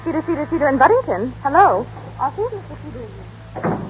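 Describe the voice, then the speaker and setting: A woman's voice: high-pitched, sing-song, fast. A woman acting in an old-time radio drama. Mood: brisk. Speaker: radio actress. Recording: dull, noisy old radio broadcast.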